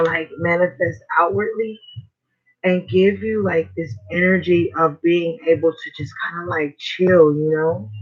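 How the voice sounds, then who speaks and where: A man with a hoarse, raspy voice giving a casual, slangy tarot reading as host. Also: high voice, fairly bright tone. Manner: slangy, chatty, swears casually. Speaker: tarot reader. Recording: dull lo-fi recording.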